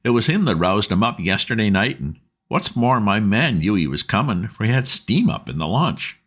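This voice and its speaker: A man's voice: lowish voice, big pitch swings, moderate pace, fairly bright tone, husky, pitched above the performer's own voice, exaggerated voice. Man doing a fretful chatty boatman's wife. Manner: homely dialect, rambling. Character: stout red-faced working-class boatman's wife, anxious, chatty.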